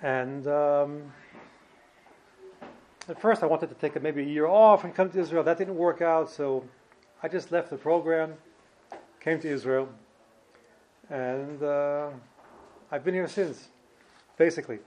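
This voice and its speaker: A man in his fifties with a New York accent, giving an informal personal talk as a guest speaker in a halting, slightly uneasy way. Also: on the high side, darkish tone. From New Jersey and Brooklyn, New York, United States. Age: 50s. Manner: hesitant, halting, slightly ill at ease. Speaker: guest speaker, former yeshiva student.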